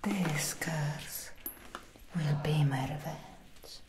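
A woman voicing a vengeful cursed vampire hunter turned monster, with a breathy, taunting whisper in an ASMR roleplay. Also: low voice, roomy sound, background noise. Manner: drawn-out, taunting, menacing delivery. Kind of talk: soft-spoken ASMR roleplay.

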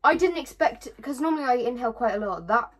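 A woman's voice: on the high side, lively intonation, quick pace. A young woman hosting a casual video, chatting and explaining a game in a bedroom. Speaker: vlog host. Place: small bedroom indoors, sitting on a bed. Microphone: camera a couple of metres away. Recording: home camera recording with clear noise.

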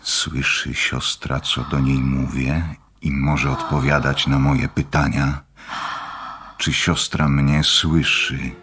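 An actor playing a secretive, intense doctor in a radio drama, speaking in a measured, formal and persuasive way. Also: male voice, extremely low pitch, flat delivery, a bit bright, heavily acted. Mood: coaxing, hypnotic. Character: secretive, intense doctor studying occult visions.

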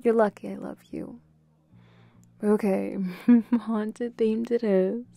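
A woman playing a bubbly, playful, affectionate young girlfriend in an intimate roleplay audio, giggly and given to quick repeated phrases. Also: mid-range voice, vocal fry, dry recording, soft background music. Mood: playful, excited. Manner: giggly, breaks into short excited repeats.

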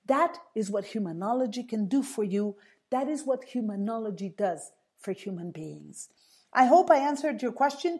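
A woman hosting a solo radio talk show, hoarse and husky, earnestly explaining and repeating key points for listeners. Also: a little high, a little mellow. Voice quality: husky, strained voice.

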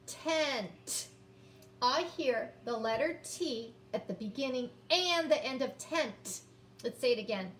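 A woman in her fifties teaching young children, sounding out words and reading aloud in a deliberate, encouraging way. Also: fairly high pitch. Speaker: early-grades teacher. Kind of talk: children's phonics lesson and read-aloud. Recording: roomy, distant mic, background noise.

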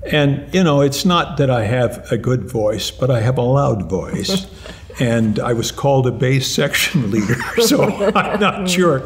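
An older man, a retired mathematics professor, answering interview questions in an animated way, often restarting and repeating words. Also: mid-range voice, a little slow. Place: lounge-like room with armchairs and lamps, indoors.

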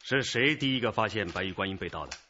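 A man's voice: lowish voice, lively intonation, fast talker, sharp tone, highs cut off, a little hiss or hum. A man playing a commanding detective chief with a probing, authoritative manner in a radio crime drama. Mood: businesslike. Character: commanding male police detective chief leading an investigation.

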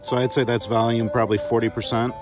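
A man's voice: medium pitch, level tone, quick pace, loud music behind the voice. A man giving a casual, playful hands-on product demo in a chatty, informal way. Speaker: product reviewer.

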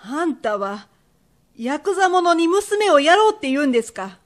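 An indignant merchant's wife of old Japan, played by a woman in a period radio drama. Her voice is high, and her speech is sharp-tongued, old-fashioned and fiercely protective. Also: very animated, normal speed. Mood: protesting.

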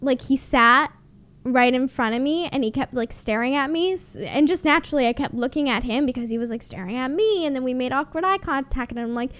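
A woman's voice: high voice, brisk, fairly bright tone. A young woman hosting a podcast from a small home room, telling a story in a casual, blunt and sarcastic way and swearing freely, close on a studio microphone. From Canada. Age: young adult. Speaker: podcast host. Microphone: studio mic on boom arm, close.

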